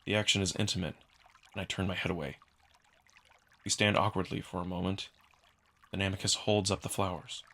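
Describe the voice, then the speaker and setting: Husky man narrating a drama. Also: low-pitched, steady tone, brisk, long pauses, fairly bright tone. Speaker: narrator and voice performer. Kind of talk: scripted dramatic first-person narration.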